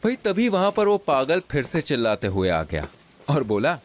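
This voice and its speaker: A man's voice: a little high, huge pitch swings, normal speed, dry recording. A man narrating a scripted children's folk tale as a storyteller.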